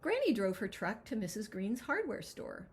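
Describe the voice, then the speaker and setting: A woman reading a picture book aloud as its author, with expressive, dramatic storytelling. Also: mid-range voice, moderate pace. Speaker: children's author reading their own book.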